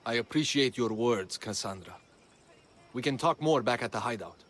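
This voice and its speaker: An actor plays a young man living in hiding in a video game. His medium-pitched voice is earnest and troubled, weighed down with guilt. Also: animated, moderate pace, fairly bright tone, slight background noise, natural voice. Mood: subdued. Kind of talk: video game cutscene acting.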